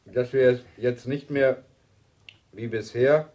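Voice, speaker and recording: Actor as slow-spoken wry male lecturer. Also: medium pitch, steady tone, warm tone, close to an everyday voice. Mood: deliberate, pondering. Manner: hesitant, deliberate, dryly ironic. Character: Lars, measured, wry male university lecturer leading a seminar. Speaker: seminar lecturer. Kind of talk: radio drama dialogue.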